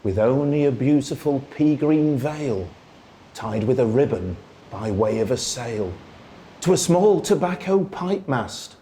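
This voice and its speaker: A man reciting a children's poem as a storyteller, in a theatrical, singsong way with drawn-out phrasing. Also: mid-range voice, expressive, dark tone, slight background noise. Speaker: storyteller, radio presenter. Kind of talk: expressive children's poetry recitation.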